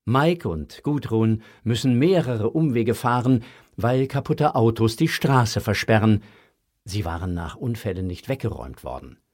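A man narrating a scripted German children's audio story with a slightly husky voice. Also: lowish voice, lively intonation, unhurried, a little mellow.

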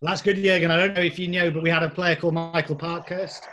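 A man's voice: on the high side, level tone, fast, noisy background. A man interviewing a new signing for his club, asking friendly, easygoing questions.

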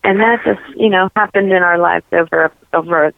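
A woman's voice: fairly low pitch, a little fast, gravelly, no room sound. A woman from New York and New Jersey tells her story as an interview guest. She talks earnestly and wanders through her thoughts, leaning on "you know" fillers. Her voice comes through a tinny, phone-like line with no room sound. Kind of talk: casual testimony interview.

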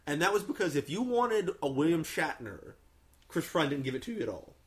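A man's voice: a little high, big pitch swings, fairly quick. A man hosting a casual, profane film discussion, telling things with enthusiastic emphasis. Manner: enthusiastic, emphatic storytelling with acted-out quotes. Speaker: host of a film review discussion. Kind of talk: casual, profane fan chat.

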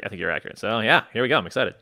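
A man's voice: fairly low pitch, lively intonation, very fast, background noise. A man co-hosting a podcast, chatting casually in a laid-back, agreeable way. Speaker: podcast co-host. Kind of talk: casual chat.

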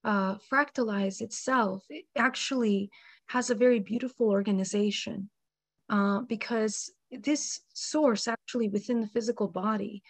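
A woman, hoarse from a cold, speaking slowly and deliberately with many pauses in a spiritual panel discussion. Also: mid-range voice, fairly even intonation, no echo. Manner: slow, measured, many ums. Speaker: panel guest.

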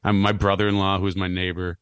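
A man in his thirties with a low, slightly raspy voice, chatting casually on a podcast as a guest, rambling and self-deprecating. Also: fast, no echo, clean studio sound. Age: 30s. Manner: rambling, self-deprecating, animated when joking. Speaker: clown and musician guest.

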